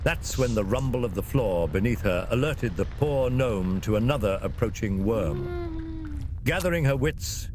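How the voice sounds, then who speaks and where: A man reads a fantasy adventure as its narrator. His medium-pitched voice swings expressively, with a theatrical, suspenseful delivery. Clear ambient noise runs behind him. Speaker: storyteller, narrator. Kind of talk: dramatic scripted fantasy narration.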